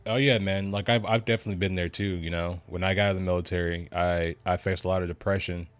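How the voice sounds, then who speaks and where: A man's voice: fairly even intonation, fast talker. A young man streaming a game, chatting casually and rambling with lots of "man" and "you know". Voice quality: deep voice. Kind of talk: casual livestream chat.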